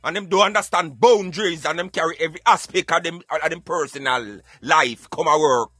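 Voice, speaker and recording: Jamaican man, high voice, dry close recording, emphatic streetwise radio banter, argumentative. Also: brisk, bright, forceful tone, no room sound. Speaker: radio show host. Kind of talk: animated opinionated radio banter in Patois.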